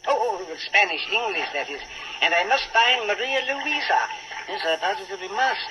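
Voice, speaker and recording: A man's voice: high-pitched, lively intonation, normal speed. A man playing a flustered, absent-minded professor in a 1940s radio drama, stammering and repeating himself. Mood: flustered, dithering. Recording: hissy, noisy old 1940s radio recording.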